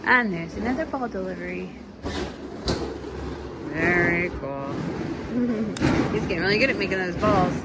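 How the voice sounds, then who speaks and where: A woman in her thirties reading a storybook aloud in an animated, drawn-out way, breaking off to chat with young children. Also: fairly low pitch, vocal fry, heavy background noise. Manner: animated, drawn-out delivery with exclamations. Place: at home among young children.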